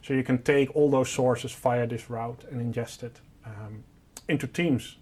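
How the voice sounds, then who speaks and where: A man's voice: medium pitch, fairly even intonation, unhurried, a little mellow, slightly rough. A man presenting a product, explaining a technical solution in an informal, step-by-step and simplified way.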